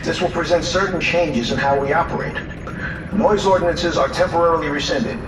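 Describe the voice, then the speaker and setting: Audio drama submarine captain, male actor: commanding, clipped delivery, fairly high male voice, roomy and distant. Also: fairly quick, lightly acted. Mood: composed, authoritative. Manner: formal, authoritative, clipped military speech. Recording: roomy, distant, noisy vehicle ambience.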